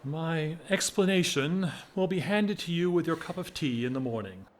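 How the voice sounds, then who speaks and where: An actor playing a whimsical, lovesick English gentleman of forty-one in a period audio drama, wry, measured and self-mocking. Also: fairly high pitch, animated, natural voice. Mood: wry, flippant.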